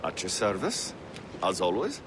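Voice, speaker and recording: An actor playing a dignified eighteenth-century naval captain in a video game, formal, measured and polite. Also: male voice, low-pitched, wildly swooping pitch, exaggerated voice. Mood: courteous. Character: courteous, dignified British naval captain and navigator of 1750s. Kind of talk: dramatic video game acting. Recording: noisy shipboard game ambience.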